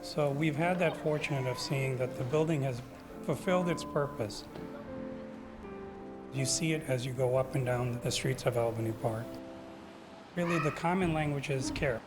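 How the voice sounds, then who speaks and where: A man's voice: mid-range voice, normal speed, pauses often. A man from Pakistan, a community center leader, answering interview questions in an earnest, reflective way. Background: music.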